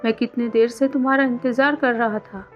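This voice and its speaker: A woman acts out a young college boyfriend, smooth-talking and evasive. He is insistent, though pitched high. It is a storybook romance with a slightly dull sound and music underneath. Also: fairly even intonation, brisk, natural voice. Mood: smitten. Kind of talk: scripted story dialogue.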